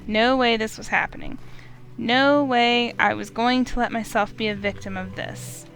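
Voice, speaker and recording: A woman's voice: on the high side, steady tone, normal speed, fairly bright tone, faint music behind, low-quality recording. A woman narrating a visual novel in first person, emotive and urgent.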